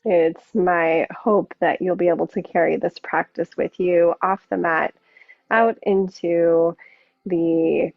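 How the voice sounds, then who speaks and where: A woman yoga teacher gently guiding a relaxation practice, unhurried and leaving long pauses between phrases. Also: fairly low pitch, vocal fry, no room sound. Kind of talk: guided yoga and relaxation instruction. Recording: muffled narrow phone-like sound.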